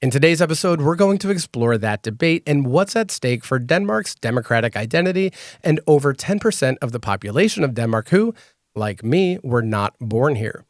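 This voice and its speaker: A man hosting a podcast, explaining clearly in a measured, scripted way and interviewing a guest. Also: medium pitch, animated, no room sound, studio quality. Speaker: podcast host.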